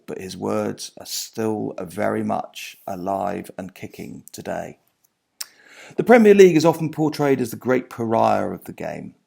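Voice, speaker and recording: A man reading from his own non-fiction book as its author, in a measured, articulate, literary manner, phrase by phrase, letting his lines fall away at the end. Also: on the low side, vocal fry, a little mellow, husky.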